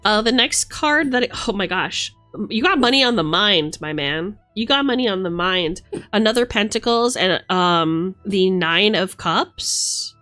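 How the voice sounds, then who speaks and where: A woman streamer talks casually over background music at a medium pitch. She is playful and affectionate with her listeners, often thinking out loud as she goes through a tarot reading. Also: animated, a little slow. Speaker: livestreamer. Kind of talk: casual livestream chat while reading tarot cards.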